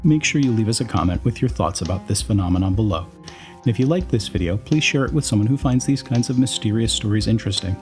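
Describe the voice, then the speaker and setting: A man giving scripted documentary narration, reading long quotes aloud in a measured, explanatory way. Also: on the low side, lively intonation, darkish tone, soft background music.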